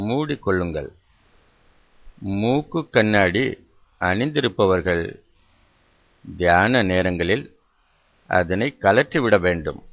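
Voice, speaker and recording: A man with a fairly low voice leads a guided meditation. He speaks very slowly and deliberately, repeating instructions and leaving pauses. The recording sounds somewhat muffled and lo-fi.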